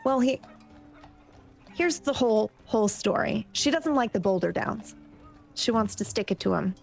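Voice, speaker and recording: Woman, tabletop player in character; brisk, persuasive, easy chatter. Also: on the high side, expressive, creaky, soft background music.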